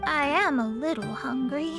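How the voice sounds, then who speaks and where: A proud young astrologist in a video game. Her voice is high, with formal, haughty phrasing that gives way when she gets flustered. Music plays softly behind her. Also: a little slow. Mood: proud, embarrassed. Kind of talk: English video game dub.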